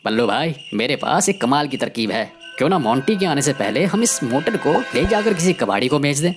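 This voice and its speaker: A woman voicing a cocky, bossy village man in an animated story, speaking in an emphatic, colloquial, scolding way. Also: extremely low pitch, a little fast. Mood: indignant, sly. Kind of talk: animated Hindi moral story dialogue. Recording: music behind the voice.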